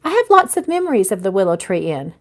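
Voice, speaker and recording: A woman's voice: medium pitch, big pitch swings, quick pace. Woman reading as a weary, heartbroken businesswoman. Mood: reluctant, guarded. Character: weary, heartbroken businesswoman, guarded about lost love.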